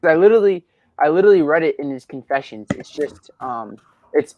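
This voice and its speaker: A man's voice: a little high, lively intonation, fairly quick, a little mellow, muffled recording, a little hiss or hum. Laughing teenage guy hosting a Q&A. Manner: upbeat, laughs often, teasing banter. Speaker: host of a Q&A livestream. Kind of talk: casual chat, reading viewer questions. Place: at his rural home.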